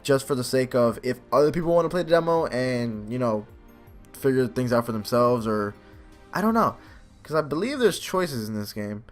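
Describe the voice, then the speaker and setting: Let's play host: young adult male, mid-pitched, casual and rambling, faint game music underneath. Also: animated, normal speed. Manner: rambling, self-deprecating. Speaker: gaming video host. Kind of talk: casual let's play commentary and reading aloud.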